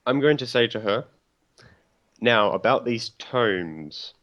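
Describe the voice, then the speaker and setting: A man's voice: medium pitch, lively intonation, slow pace, fairly bright tone. A man speaking casually as a tabletop game player, partly in character, on a muffled recording.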